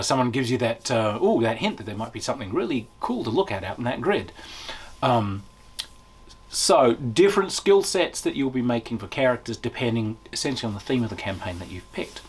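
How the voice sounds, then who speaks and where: A man reviewing a tabletop game in a casual, unscripted way, animated and chatty as he explains. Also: mid-range voice, slightly rough.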